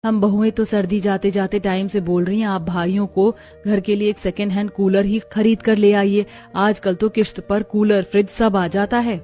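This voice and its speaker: A woman's voice: medium pitch, little pitch movement, normal speed, few pauses, dark tone, close to an everyday voice. Casting: resourceful young rural daughter-in-law, woman acting, homely, eager, colloquial, light music behind. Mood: complaining.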